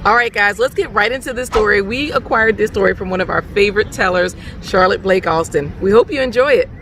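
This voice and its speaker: A woman's voice: medium pitch, brisk, fairly bright tone, a little hiss or hum. A woman co-hosting a children's storytelling show. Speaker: co-host, musical helper. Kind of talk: children's show presenting and call-and-response. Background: music.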